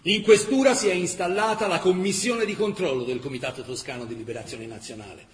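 An actor playing a solemn wartime chronicler, narrating a scripted radio play with deliberate, emphatic phrasing. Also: male voice, sits high, fairly even intonation, echoey room, close to an everyday voice. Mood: measured, grave.

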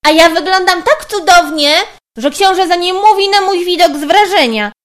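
A woman's voice: extremely high pitch, moderate pace, fairly bright tone, voice pushed up. A woman voicing a vain, bossy young stepsister in a storybook tale, whiny and demanding. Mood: vain, boastful.

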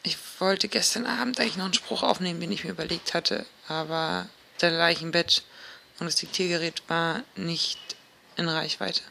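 Woman doing a personal audio diary, lots of vocal fry, hesitant, thinking out loud, trails off. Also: on the low side, steady tone, slight background noise. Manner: hesitant, introspective, trailing pauses. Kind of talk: spoken audio diary, halting and informal. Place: recorded on a dictation device as a personal diary.

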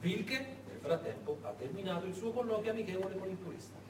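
A man narrating a story on stage in a dramatic, theatrical way, with deliberate pauses. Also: high-pitched. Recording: echoey room, far mic, faint noise.

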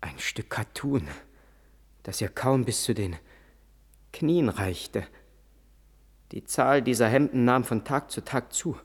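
An actor playing a proud, solemn Lakota warrior father who recounts the past in a German radio play, in measured storytelling. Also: medium pitch, animated, breathy edge, exaggerated voice. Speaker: narrator and lead character.

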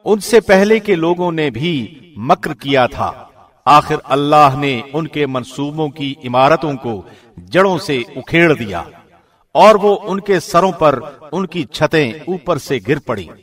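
A man reading a scripture translation formally, with measured, solemn and carefully phrased delivery. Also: fairly high pitch, expressive, a bit bright. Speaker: professional radio host reading translation.

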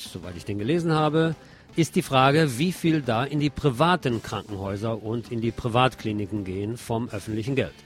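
A man hosting a radio news segment, reading scripted reports and dropping in sardonic, informal asides. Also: medium pitch. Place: radio programme. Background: guitar music.

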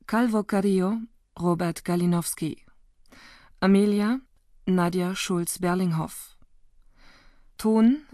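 Unhurried, lowish-voiced woman announcer. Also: darkish tone, no room sound, clean studio sound. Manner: formal, deliberate list reading. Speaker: radio drama announcer. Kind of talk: scripted title and credits announcement.

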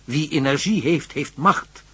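A man's voice: fairly high pitch, a little slow, a bit bright, husky, full character voice. An actor playing an idealistic, determined male professor in a radio play, speaking emphatically and declaiming. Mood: firm, impassioned.

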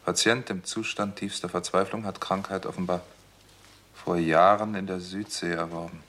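A hard-boiled doctor investigating a case in a vintage radio thriller. His voice is deep, and he speaks in clipped, matter-of-fact sentences. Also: fairly even intonation, normal speed, a bit bright. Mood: absorbed, clinical. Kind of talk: 1960s German radio crime drama narration and dialogue.

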